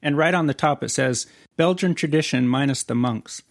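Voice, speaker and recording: Man, podcast co-host, gravelly, dry close mic, joking casual chat. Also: fairly high pitch, normal speed, no room sound. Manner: jokey, reads labels aloud.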